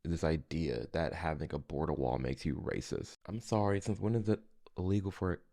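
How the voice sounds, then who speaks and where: Very deep-voiced man giving casual commentary. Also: normal speed, mellow. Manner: informal, conversational. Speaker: commentary video host. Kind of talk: casual opinionated reaction commentary.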